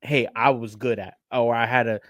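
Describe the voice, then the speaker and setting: A man from Queens with a New York accent, co-hosting a casual sports podcast in an animated, slangy way, often repeating phrases for emphasis. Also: medium pitch, normal speed, dry recording, studio quality. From Queens, New York City. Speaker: podcast co-host.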